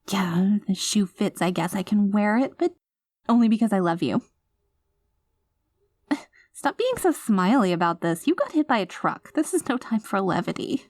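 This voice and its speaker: A woman's voice: medium pitch, a little mellow, no echo, clean studio sound, close to an everyday voice. A woman acting an anxious, clingy, doting girlfriend with playful menace, in an intimate, emotive audio roleplay. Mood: sheepish, flustered. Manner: emotive, flustered, affectionate, teasing.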